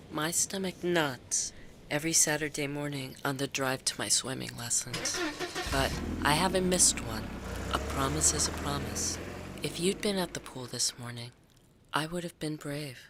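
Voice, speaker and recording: Anxious forty-something woman, low voice, swooping intonation, grieving, self-deprecating, confiding audio drama monologue, noisy ambience. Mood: wistful. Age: 40s.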